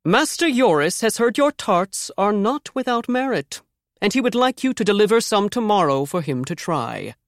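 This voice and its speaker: A woman's voice: mid-range voice, sing-song, normal speed, no room sound, studio quality. A woman voicing a haughty male palace butler who speaks in a pompous, clipped and commanding way.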